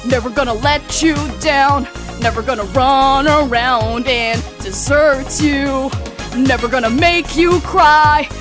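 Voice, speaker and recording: A woman streamer singing karaoke along with a backing track, loosely and fumbling some words. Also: high-pitched.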